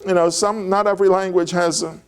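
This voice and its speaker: A man's voice: high voice, little pitch movement, fairly quick, clean tone. An older man giving an informal, digressive lecture on biblical history, talking conversationally with fillers and restarts.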